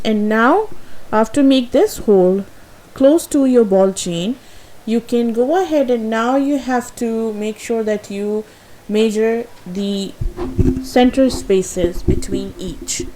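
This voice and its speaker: A woman whose first language is Urdu talks through a craft tutorial in a slow, unhurried voice-over. Her tone is soft and mellow, and she sounds a little nervous. She pauses often on "uh" and "um" and corrects herself as she goes. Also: a little high, slight background noise, rough recording. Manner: hesitant, with frequent fillers and self-corrections.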